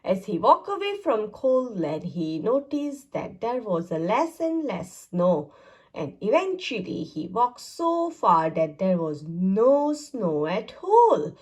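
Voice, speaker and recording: A woman's voice: medium pitch, big pitch swings, slow, a little mellow, slightly rough. A woman narrating a children's picture book aloud in a sing-song, exaggerated storytelling manner. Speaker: storybook reader.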